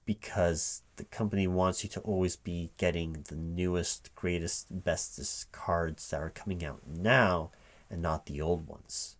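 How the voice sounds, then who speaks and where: A man speaking as a recruiting manager in a one-sided ASMR interview roleplay, questioning in a halting, puzzled way. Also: deep voice, fairly even intonation. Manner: halting, puzzled questioning, checking back with "right?". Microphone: close microphone with typing sounds behind. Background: computer keyboard typing.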